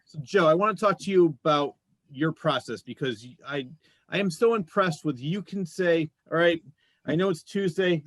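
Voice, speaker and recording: A man's voice: a little high, normal speed, fairly bright tone, dry recording. A man hosting a casual interview, putting questions to a guest in a conversational way. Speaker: interviewer and show host.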